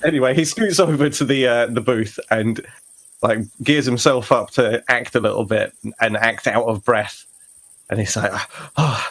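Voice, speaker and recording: A man's voice: medium pitch, normal speed, a bit bright. A man playing a tabletop game, narrating his character in a loose, conversational way with trailing phrases. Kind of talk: casual in-character narration and chat.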